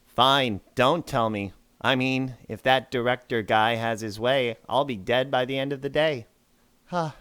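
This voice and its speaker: A man's voice: medium pitch, steady tone, unhurried, a bit bright, clear, resonant tone, close to an everyday voice. Guy as snarky skeptical mole dude in a radio play, teasing, middle-range voice. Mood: mocking. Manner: sarcastic, teasing, dismissive. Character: sarcastic, skeptical male moleperson worker, proudly independent. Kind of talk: audio drama acting.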